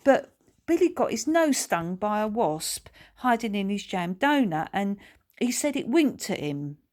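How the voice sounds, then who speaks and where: An excitable mother from a children's storybook, acted out by a woman reader. She gushes in colloquial, ungrammatical speech and fancies herself a grand sorceress. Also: mid-range voice, unhurried, voice pushed up. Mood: confiding.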